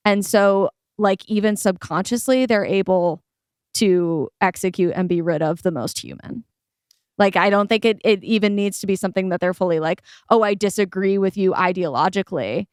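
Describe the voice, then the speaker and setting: A woman's voice: mid-range voice, moderate pace, a little mellow, clean tone, no echo, studio quality. A woman on a podcast panel, talking through ideas casually and analytically, with emphatic, informal phrasing.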